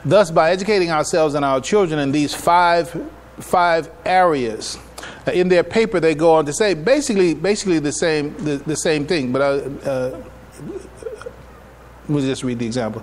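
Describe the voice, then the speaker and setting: A man giving a public religious lecture as an imam, speaking in a halting, deliberate way and often repeating his phrases. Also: a little high. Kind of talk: public religious lecture, partly reading from notes. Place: live public address in a room.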